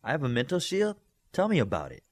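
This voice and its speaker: A man's voice: medium pitch, wildly swooping pitch, fast talker, voice pushed up. A man voicing a curious, bantering teenage superhero boy in an audiobook story. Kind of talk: audiobook character dialogue.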